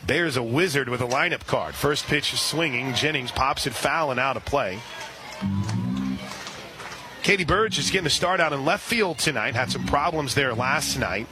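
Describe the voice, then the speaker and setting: A man calling a softball game live on radio, chatty and excitable, slipping quick asides between pitches. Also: music in the background. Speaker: radio play-by-play announcer. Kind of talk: live radio sports commentary. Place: outdoor ballpark press area.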